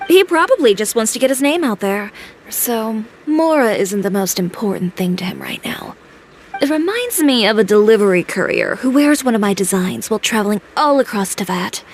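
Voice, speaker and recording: A woman's voice: a little high, lively intonation, moderate pace, slight background noise, natural voice. A woman playing a self-assured young fashion designer in a video game, matter-of-fact and composed.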